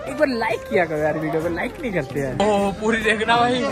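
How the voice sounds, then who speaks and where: A young man filming a travel vlog outdoors on a snowy train platform and inside a train, chatting excitedly with his viewers. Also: high-pitched, quick pace, a loud crowd. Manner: excited, chatty, keeps calling viewers brother. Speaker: travel vlogger. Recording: background music mixed under the voice. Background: music.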